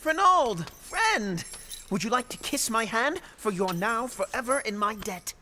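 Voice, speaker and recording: A woman's voice: on the high side, very animated, a little slow, bright, forceful tone, slight background noise, full character voice. A woman playing a spoiled, entitled young prince in an audio drama, self-assured and haughty. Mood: smug.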